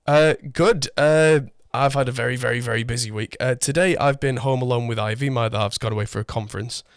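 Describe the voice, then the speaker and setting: A man in his thirties hosts a relaxed podcast from a home studio, chatty and self-deprecating. His voice sounds slightly hoarse from a cold. He speaks close to a condenser mic in a dry, dead room. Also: mid-range voice, quick pace, dry recording. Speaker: podcast host. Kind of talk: casual chat. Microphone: condenser mic through an audio interface.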